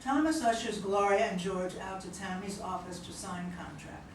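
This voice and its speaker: A woman's voice: mid-range voice, moderate pace. A woman reading stage directions as scripted narration at a play reading. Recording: very echoey room, distant mic, noisy.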